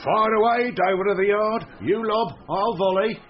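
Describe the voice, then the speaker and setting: An actor playing a chatty, blustering, slightly shifty British holiday camp manager in a radio comedy mystery. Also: male voice, sits high, normal speed, natural voice. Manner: colloquial, jokey, blustering. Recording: dull lo-fi radio drama.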